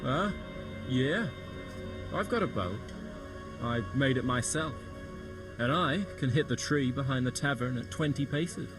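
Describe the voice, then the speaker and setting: A man's voice: mid-range voice, animated, over music. A young man playing a boastful but bashful medieval village lad in a video game, talking hesitantly. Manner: slow, hesitant. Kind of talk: video game cutscene acting.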